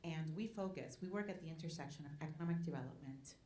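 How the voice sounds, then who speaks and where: A low-pitched woman speaks. She is a Spanish giving an earnest talk at a forum and keeps asking her listeners "right?". She was recorded in an echoey event hall with faint background noise. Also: fast talker, warm tone. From Puerto Rico. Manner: earnest, often asks the room "right?". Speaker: nonprofit president speaking at a panel. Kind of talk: public talk at a community forum.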